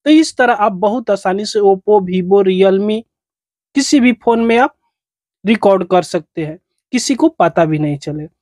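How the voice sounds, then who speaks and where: A man's voice: high-pitched, lively intonation, measured pace, darkish tone, dry recording, clean studio sound. A man narrates a step-by-step phone tutorial as its host, in repetitive, instructional phrasing.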